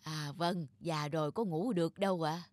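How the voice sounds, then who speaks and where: Man playing gentle, soft-spoken old cartoon man. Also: fairly high pitch, fairly even intonation, normal speed, dry recording, studio quality, lightly acted. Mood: mild, grateful. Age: older. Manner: polite, mild, soft-spoken. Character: gentle, modest old man living alone. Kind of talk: cartoon voice acting.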